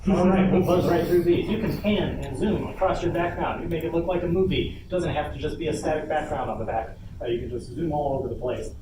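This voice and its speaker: A man's voice: a little high, a little fast, hardly any pauses, distant mic. Man presenting in a noisy, echoey room. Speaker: workshop presenter on a convention panel. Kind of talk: informal instructional presentation to an audience. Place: convention panel room.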